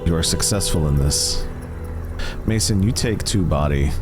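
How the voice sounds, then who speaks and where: A man running a tabletop game as game master, narrating in a deliberate, dramatic way. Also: deep voice. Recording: loud music behind the voice. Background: loud music.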